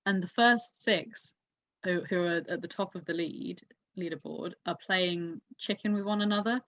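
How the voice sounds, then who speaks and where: A woman's voice: on the low side, moderate pace, fairly bright tone. A woman chatting casually about motorsport as a podcast co-host, giving her opinions emphatically. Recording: echoey room, dull lo-fi mic.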